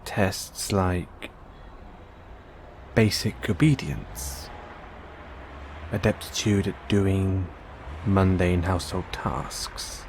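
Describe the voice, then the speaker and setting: A man playing a gentle, caring android owner in an intimate one-sided audio roleplay, coaxing and inquisitive, with long pauses. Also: low voice, creaky, slight background noise, exaggerated voice. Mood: curious.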